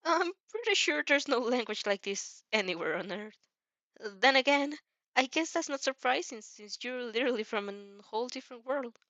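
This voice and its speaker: A woman's voice: on the high side, expressive, normal speed, bright, forceful tone, no echo, dull audio, pitched above the performer's own voice. A woman voicing a nervous, helpful teenage boy who stammers eagerly and reassures in an audio fan fiction reading. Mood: earnest, reassuring.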